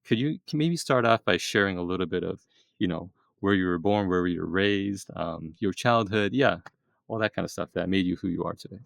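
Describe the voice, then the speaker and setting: An excitable man hosting a relaxed interview, his voice low and gravelly. He talks in bursts with plenty of fillers and restarts. The sound is close and dry. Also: brisk, no room sound. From East Asia. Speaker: podcast host. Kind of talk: casual interview chat.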